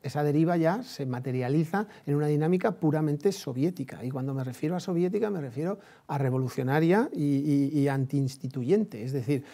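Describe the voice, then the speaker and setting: A man from Spain with a Spanish accent gives conversational commentary on history and politics in a discursive, halting way, repeating phrases and adding tag questions. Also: on the high side, animated, warm tone.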